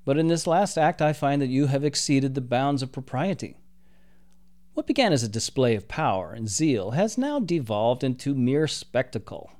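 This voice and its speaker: A man's voice: mid-range voice, sing-song, moderate pace, a little mellow, close to an everyday voice. A man doing a haughty, scornful archangel. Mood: disdainful. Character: proud male archangel, haughty and disdainful.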